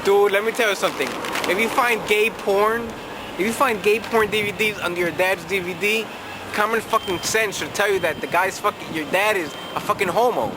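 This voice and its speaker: Young man, tipsy advice vlogger, profane and brash, higher voice, outdoors with traffic noise. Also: a little fast. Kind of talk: casual advice vlog, reading viewer letters. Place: outdoors in a city at night.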